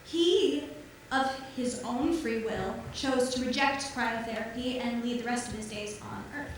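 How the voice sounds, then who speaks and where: A woman's voice: on the high side, measured pace, a little hiss or hum, lightly acted. A woman playing an upbeat, polished colony leader in a staged musical. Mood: subdued, evasive. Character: upbeat, evasive colony leader daughter, youthful-seeming at 210. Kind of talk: staged musical theater acting. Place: theater stage, piano music behind. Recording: echoey theater from far off, music behind.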